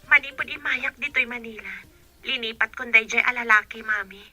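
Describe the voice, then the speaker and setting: A woman's voice: on the high side, fairly quick, sharp tone, soft background music. A woman acting a frank young female student in an Ilocano radio drama. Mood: resolute. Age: young adult. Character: young female student, frank daughter confiding in her mother.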